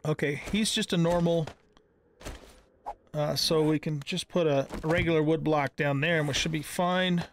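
A man's voice: a little high, fairly even intonation, normal speed. A middle-aged man giving casual let's-play commentary, thinking aloud in a folksy, exclaiming way. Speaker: gaming channel host. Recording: clear background noise with clicks.

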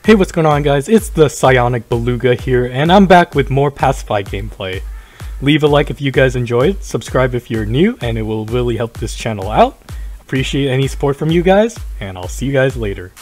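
A man talks over a co-op horror game, his pitch leaping and dropping as he jokes with his friends. He laughs easily and keeps a loose, casual tone. Faint game music plays underneath. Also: fairly quick. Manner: excitable, laughing. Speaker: gaming YouTuber. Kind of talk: casual co-op gaming chat.

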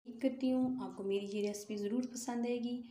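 A woman's voice: fairly high pitch, fairly even intonation, brisk, mellow, reverberant. A woman hosting a home cooking channel, walking viewers through a recipe step by step in a patient, instructive voice-over. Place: home kitchen.

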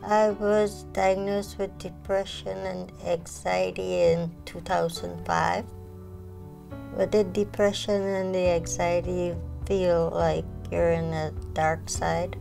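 Slow-talking woman with a Guyanese accent. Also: fairly low pitch, level tone, gravelly. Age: 40s. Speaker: client sharing personal story. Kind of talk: interview testimonial. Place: small furnished living room indoors. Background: soft music.

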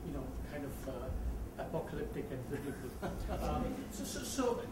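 A man's voice: fairly high pitch, big pitch swings, moderate pace, a crowd in the background. A middle-aged man giving emphatic panel remarks, often repeating words as he starts a phrase. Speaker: panelist, economist. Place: indoor conference panel stage. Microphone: gooseneck table microphone. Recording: clearly noisy conference room recording.

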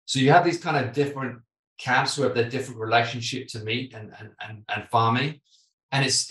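A British man in his thirties hosting a podcast over a video call, talking casually and animatedly, with many restarts. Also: mid-range voice. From Britain. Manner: animated, gestures with his hands, frequent restarts. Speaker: podcast host. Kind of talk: casual conversation. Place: home office, video call. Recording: echoey room, mic at a distance.

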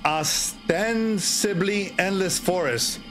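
A middle-aged man hosting a livestream, chatting casually and reading a horror story in a drawn-out, theatrical way with pauses. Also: sits high, a bit bright. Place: indoor streaming setup. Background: music.

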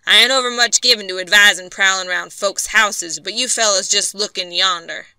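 A woman voicing Posey Bream, a steady, weary frontier man with slow, plain backwoods talk. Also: mid-range voice, clean tone, no echo. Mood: grim.

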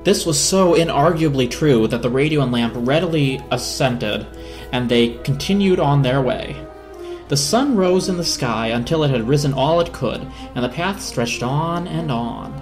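Expressive storyteller with animated pitch. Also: normal speed, music in the background. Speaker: narrator, reader. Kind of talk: dramatic storybook reading.

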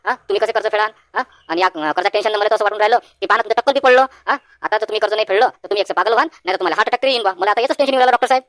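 A woman's voice: mid-range voice, very fast, few pauses, fairly bright tone, no echo, natural voice. A woman voicing an anxious, naive village man in a comic cartoon, rambling with hesitant fillers in a rural Vidarbha Marathi accent. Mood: worried, rambling. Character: anxious rustic village man, naive worrier visiting a doctor.

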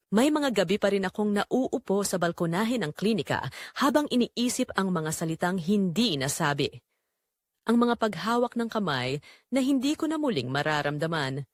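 A woman narrating a first-person dramatic story in a reflective, measured way. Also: mid-range voice, dry recording, studio quality.

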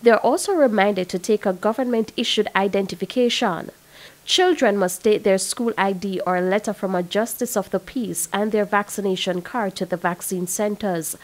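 A woman's voice: mid-range voice, normal speed. A woman with a Jamaican accent reading a scripted news report.

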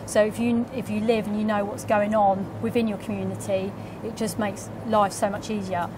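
A woman's voice: mid-range voice, flat delivery, normal speed. Chatty woman in a noisy shop. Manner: friendly, chatty, frequent ums. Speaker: retail business manager. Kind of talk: on-site interview. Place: convenience store, indoors. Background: shop ambience.

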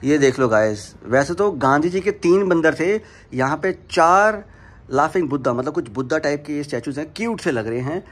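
A man's voice: on the high side, lively intonation, normal speed. A young man vlogging in a casual, chatty way, talking straight to his viewers, with a sore throat that leaves him a little unwell. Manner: chatty, addresses viewers directly, mixes English words in. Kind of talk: casual vlog chat to viewers. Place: home and hotel, indoors.

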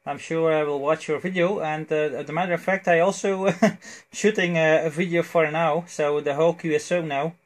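A man with a Belgian accent chats casually as an amateur radio operator, phrasing things haltingly. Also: a little high, fairly even intonation. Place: indoors at a cluttered electronics desk. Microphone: cell phone near the desk.

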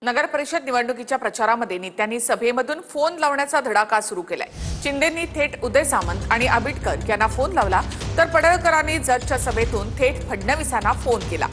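A woman's voice: on the high side, animated, brisk, fairly bright tone, faint music behind. A woman presenting scripted news headlines as an anchor.

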